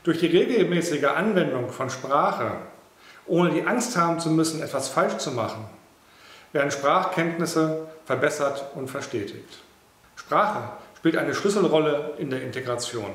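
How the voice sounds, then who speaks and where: A man in his fifties speaks as chairman of an association, fluent and formal, his voice a little higher than most men's. The room rings around him. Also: expressive, moderate pace, fairly bright tone, echoey room. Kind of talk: prepared statement.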